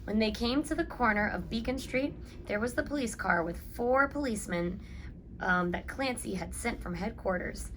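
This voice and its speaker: A woman's voice: fairly high pitch, animated, moderate pace, fairly bright tone, a little hiss or hum. A woman reading a children's picture book aloud and speaking to young listeners in an instructive way. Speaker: story reader and reading teacher.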